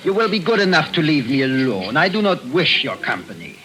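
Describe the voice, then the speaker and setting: A man's voice: on the high side, very animated, a little fast, pushed and bright, low-quality recording. An actor playing a stiff, brusque German man with a heavy German accent in a 1940s radio drama. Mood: curt, menacing. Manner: curt, stiff, threatening. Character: brusque German man hiding his identity.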